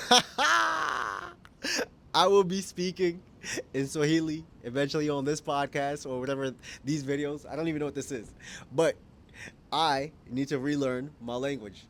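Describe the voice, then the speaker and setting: A man's voice: on the high side, a little slow, vocal fry, a bit bright. A man in his twenties, from Kenya, talking casually and candidly to camera outdoors, musing and laughing at himself. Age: 20s. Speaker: vlogger. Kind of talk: casual reflective monologue. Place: outdoors at dusk.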